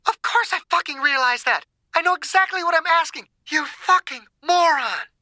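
Actress playing an urgent, high-voiced woman scientist, bright and forceful. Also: animated, moderate pace, no echo, studio quality. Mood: furious. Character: Margaret, urgent desperate woman scientist facing an outbreak. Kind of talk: dramatised audiobook acting.

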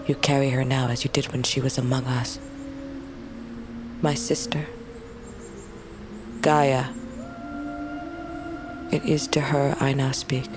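An actress playing a grieving, fierce but lonely young princess of a wolf tribe in a fantasy audio drama, speaking in a solemn, intimate, halting way. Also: female voice, very deep voice, little pitch movement, noisy background. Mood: solemn prayer. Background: music.

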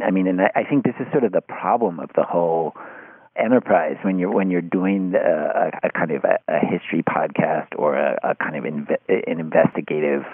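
A man speaking as an interview guest in analytical conversation, halting and circling back over his phrases. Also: deep voice, gravelly, husky, no echo. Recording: narrow phone-like line.

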